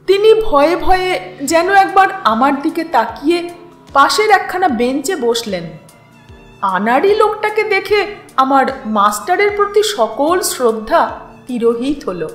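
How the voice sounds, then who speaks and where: A woman narrates a literary story. Her voice is high and very animated, and she takes her time with each phrase. She is recorded in an echoey space with music underneath. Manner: unhurried, dramatized reading. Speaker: story reader and channel host. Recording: roomy echo with music underneath.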